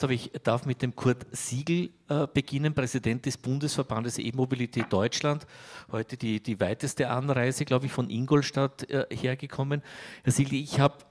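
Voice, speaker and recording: A middle-aged man moderating a panel in a conference hall, speaking politely and formally into a handheld mic. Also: mid-range voice.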